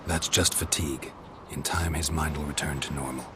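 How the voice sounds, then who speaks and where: An actor voicing a calm, grizzled male monster hunter who talks in a terse, dry, matter-of-fact way. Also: extremely low pitch, normal speed, music in the background.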